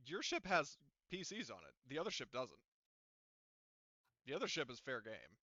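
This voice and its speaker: Man, game master, halting off-the-cuff delivery, clean bright tone, slightly dull dry recording. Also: on the high side, very animated, dry recording. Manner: halting, thinking aloud, mildly profane. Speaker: game master of an online tabletop roleplaying game. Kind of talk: casual, improvised tabletop game running.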